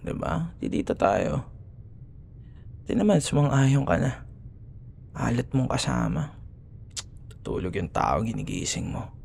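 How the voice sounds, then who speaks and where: A man's voice: mid-range voice, sing-song, measured pace, vocal fry, pauses often, darkish tone, slight background noise, heavily acted. A man with a Filipino accent playing a grumbling, teasing young school rival who secretly cares, in a sarcastic, casual ASMR boyfriend roleplay. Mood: flustered, annoyed. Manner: sarcastic, casual bickering with sighs and murmured asides.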